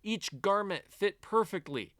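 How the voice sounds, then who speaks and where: A man reading a fairy tale aloud to children as an expressive storyteller, with deliberate storybook phrasing. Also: high-pitched, no echo, clean studio sound.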